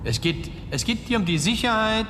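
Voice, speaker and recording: A man acting an anxious, self-important power broker in a German radio play, halting and pompous in his emphasis. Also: animated. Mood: agitated, pompous. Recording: reverberant space with rumbling vehicle noise.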